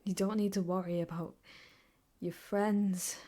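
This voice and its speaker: A woman playing an obsessive, possessive yandere ex-girlfriend in an improvised ASMR roleplay, speaking intimately and haltingly, often slipping into whispers. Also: mid-range voice, level tone, dark tone, lightly acted. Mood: coaxing, possessive. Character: obsessive, possessive yandere ex-girlfriend holding her captive lover.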